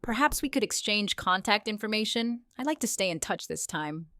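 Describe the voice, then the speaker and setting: A woman's voice: medium pitch, lively intonation, normal speed, sharp tone, no echo, clean studio sound. A woman playing an earnest, emotional adult stepdaughter in an audio story. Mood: hurried. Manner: trembling, earnest. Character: emotional woman meeting her former stepfather.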